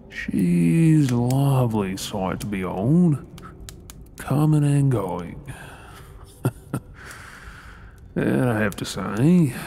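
A man's voice: medium pitch, creaky, mellow, slight background noise. A man with an Australian accent playing a resentful undercover soldier posing as a royal servant in an audio role-play, brooding in asides and formally deferential in address. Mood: wistful, amused. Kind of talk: scripted ASMR audio role-play acting.

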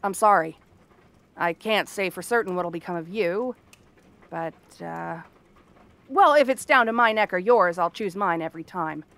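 A woman's voice: fairly low pitch, moderate pace, a bit bright. Haughty, bratty cursed frog princess played by a woman. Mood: grudgingly conceding. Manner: haughty, sarcastic, theatrical. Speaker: voice actress. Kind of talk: ASMR fantasy roleplay monologue.

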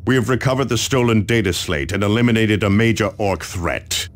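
An actor playing a stern, commanding space marine officer, briefing solemnly and with measured authority in a video game. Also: male voice, lowish voice, close to an everyday voice. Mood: stern, urgent.

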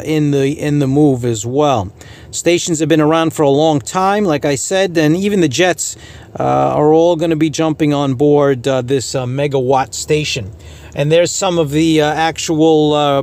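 A man with a somewhat high-pitched voice delivers informal podcast commentary. He speaks haltingly and circles back over his points, stating his opinions as the host of a radio report. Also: darkish tone. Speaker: radio hobbyist host giving a news report.

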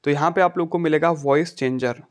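Higher-pitched man giving a phone tutorial. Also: a little fast. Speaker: tech tutorial host. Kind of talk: casual step-by-step phone tutorial.

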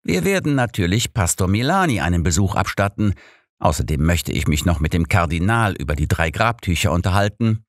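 A man voicing a brisk, impatient, probing elderly detective in an audiobook. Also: sits low, very animated, no echo, clean studio sound.

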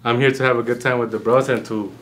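A man's voice: mid-range voice, fairly even intonation, fast talker, a bit bright, slight background noise. A man in his twenties teasing his friends in a casual chat on a living room couch. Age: 20s. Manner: teasing, joking.

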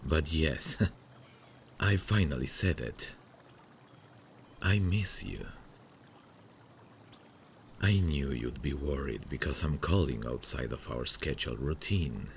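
A man playing a sweet, devoted long-distance boyfriend in an intimate ASMR roleplay, speaking tenderly and soothingly with hesitant pauses. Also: rumbling low, gravelly, a little hiss or hum, heavily acted. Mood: shy, longing. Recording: faint running-water nature sounds behind. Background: stream nature sounds.

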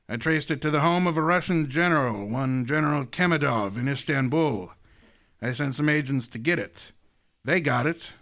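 An actor playing a genteel, scheming older crime boss in a radio drama, speaking in a courtly, grandiloquent, relishing way. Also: male voice, medium pitch, fairly bright tone. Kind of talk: hardboiled detective radio drama acting.

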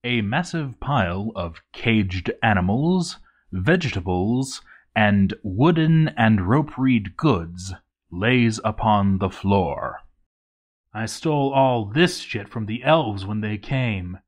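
A man's voice: on the low side, animated, slow. A man reading a written video game playthrough aloud as scripted narration, announcing events with some theatrical flair.

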